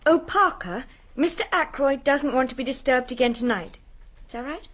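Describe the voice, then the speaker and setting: A woman's voice: high-pitched, moderate pace, a bit bright. A woman playing a polite, cooperative, well-bred young lady in a radio drama. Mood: polite, helpful.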